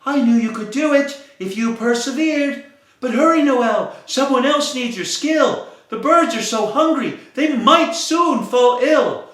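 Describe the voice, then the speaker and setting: A man voicing a wise, kind male firefly who speaks in a gentle, reassuring way, in a very echoey room. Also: very high voice, measured pace, voice pushed up. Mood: urgent.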